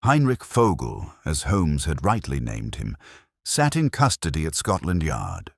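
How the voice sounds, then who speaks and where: A man narrating a detective story in a measured, literary manner. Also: extremely low pitch, huge pitch swings, vocal fry, no room sound, studio quality. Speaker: audiobook narrator.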